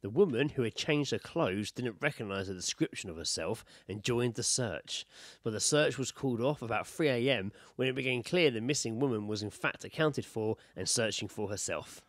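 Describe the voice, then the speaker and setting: A man's voice: mid-range voice, animated, moderate pace, few pauses, no room sound, clean studio sound. A man co-hosting a podcast, chatting casually and reading out odd news stories in an informal, sweary way. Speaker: podcast co-host.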